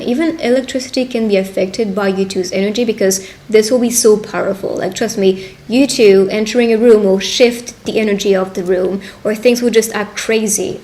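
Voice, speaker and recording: A woman speaking conversationally and encouragingly as a witch giving a personal love reading, pausing to interpret as she goes. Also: mid-range voice, darkish tone. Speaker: tarot reader and roleplay video host. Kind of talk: intimate roleplay fortune-telling addressed to the listener.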